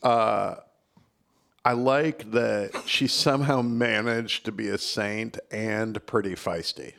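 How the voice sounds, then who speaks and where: A man's voice: fairly low pitch, takes it slowly, creaky, a bit bright. A man, a priest on a podcast panel, bantering casually in a dry, teasing way.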